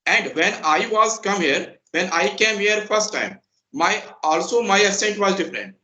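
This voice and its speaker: A man's voice: sits high, expressive, normal speed, sharp tone, reverberant, poor audio. A man from Bihar speaking English with an Indian accent, answering an interviewer earnestly and politely, often addressing him as sir. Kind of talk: casual conversational interview.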